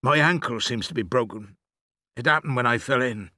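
A man's voice: medium pitch, moderate pace, sharp tone, no echo, clean studio sound. A man voicing a loving father in an audiobook story, hurt and trapped, urgent but reassuring to his child. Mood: pained, steady.

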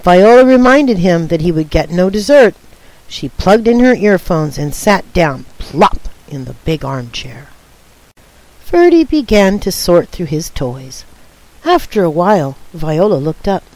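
A woman reading a children's picture book aloud in an expressive storytelling style. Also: fairly low pitch, unhurried, no room sound, poor audio. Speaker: storybook reader.

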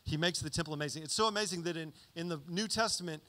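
A man's voice: fairly high pitch, quick pace. Man, thirties, casual preaching style, handheld mic, church hall reverb. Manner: conversational, animated teaching with frequent "okay?" check-ins. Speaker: pastor. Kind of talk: sermon. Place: large church stage.

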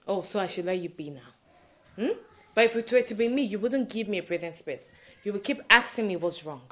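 A woman acting a concerned, nagging sister in a film drama. Her medium-pitched voice questions insistently with reproach. There is clear noise behind her. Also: lively intonation, fairly quick, a bit bright, lightly acted. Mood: concerned, reproachful.

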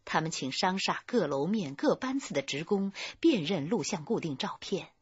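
Female radio drama narrator: low, raspy, close and dry. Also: expressive, normal speed, no room sound. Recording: muffled band-limited dry studio audio.

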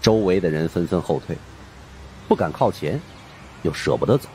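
A man's voice: low voice, expressive, normal speed, dark tone, a little hiss or hum. A man narrating a horror story in a suspenseful, theatrical way. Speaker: audiobook narrator.

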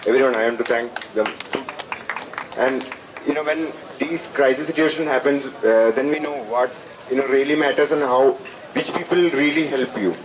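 A young man with an Indian accent speaks off the cuff to an outdoor gathering in a medium-pitched voice. He goes haltingly, circling back over phrases. The sound is picked up from a distance, with clear noise around it. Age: 20s. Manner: halting, repeating phrases as he searches for words. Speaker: student speaker at a rally. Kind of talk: impromptu public address. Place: outdoors at night under a canopy. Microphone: handheld microphone, recorded from a distant camera. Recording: noisy outdoor PA, distant camera pickup.

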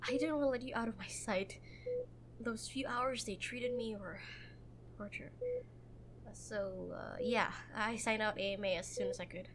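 A woman playing a protective, prickly young girlfriend in a roleplay audio drama, tender but bossy and halting when vulnerable. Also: medium pitch, steady tone, a bit bright, slight background noise, natural voice. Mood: vulnerable, earnest. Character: protective, prickly young woman, frightened for her injured lover.

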